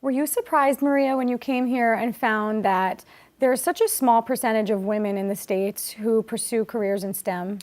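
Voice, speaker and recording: A woman's voice: on the high side, normal speed, a little mellow. A woman hosting a talk show, interviewing a guest in a friendly, chatty way.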